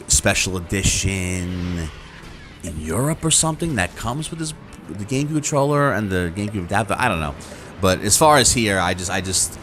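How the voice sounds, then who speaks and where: A man's voice: low voice, fairly bright tone. A man talking casually and conversationally to his viewers as a video host, rambling and acting out imagined reactions. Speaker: YouTube host.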